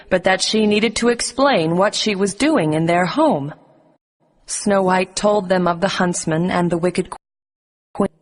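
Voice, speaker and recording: A woman narrating a scripted bedtime story for children as a storyteller. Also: fairly low pitch. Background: music.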